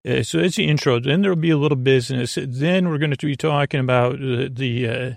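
Male bedtime-podcast host: creaky fry, dry sound, low-key meandering delivery with unfinished thoughts. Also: no room sound. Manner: meandering, halting, trailing off mid-thought. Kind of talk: rambling, casual bedtime monologue.

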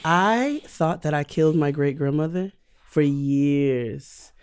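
A woman guest on a podcast, an animated storyteller who laughs easily. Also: low-pitched, takes it slowly, clean tone. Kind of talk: casual podcast conversation.

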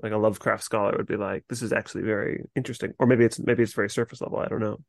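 A man's voice: on the low side, fairly even intonation, very fast, vocal fry, no echo, studio quality. A man from New England with an American accent, hosting a casual discussion in an easygoing, reflective way. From New England, United States. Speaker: podcast host. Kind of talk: casual chat.

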